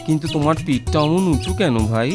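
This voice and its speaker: An actor playing a homeless blind young man in a children's cartoon, plaintive, humble and halting. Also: a little high, over music, close to an everyday voice. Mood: weary, sorrowful.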